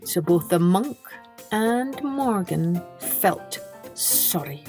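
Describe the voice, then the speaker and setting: A woman's voice: lowish voice, expressive, extremely slow, over music. A woman reading a children's story as a podcast storyteller, expressively, with dramatic emphasis on key words.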